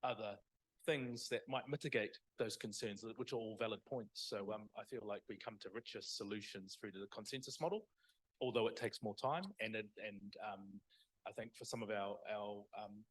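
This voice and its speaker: A man's voice: fairly low pitch, fairly even intonation, moderate pace, husky, low-quality recording. A man, a school board member, answering audience questions at a public lecture with many hesitant restarts. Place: parish hall.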